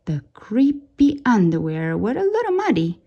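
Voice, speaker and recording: A woman reading a children's story aloud in an expressive, dramatic way, drawing out key words for suspense. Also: a little high, moderate pace, husky, muffled recording.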